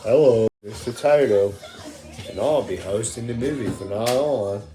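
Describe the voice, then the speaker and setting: A performer voicing a slow, friendly turtle who hosts a show, talking simply in an echoey, noisy room. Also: medium pitch, music in the background, pitched below the performer's own voice, close to an everyday voice. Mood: friendly, presenting. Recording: echoey room with clear noise.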